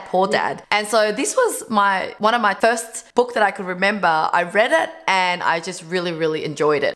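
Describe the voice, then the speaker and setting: A woman's voice: fairly low pitch, fairly bright tone. A woman in her thirties who grew up in Vietnam and learned English as a second language, talking to camera in an earnest, chatty way as a business owner and channel host. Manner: earnest, chatty, many fillers. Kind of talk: casual to-camera talk on books.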